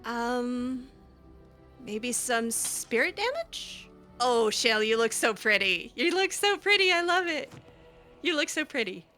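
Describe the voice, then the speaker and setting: Casting: female roleplay gamer, higher-pitched and slow-paced, chatty and hesitant, improvising in character. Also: big pitch swings, pushed and bright, faint music behind. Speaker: video game player and host.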